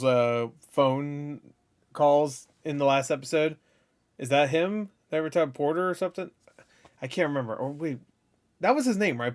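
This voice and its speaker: Slightly high-voiced man, rambling reaction host. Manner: rambling, sweary. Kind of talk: casual off-the-cuff reaction commentary.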